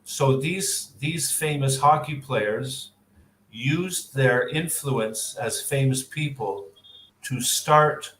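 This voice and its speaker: Canadian man, unhurried and reflective, restarts his sentences a lot, heard on a video call in an echoey room with faint background hum. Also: medium pitch, fairly bright tone. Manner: thoughtful, with frequent fillers and restarts. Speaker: interviewee. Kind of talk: answering mock speaking test questions.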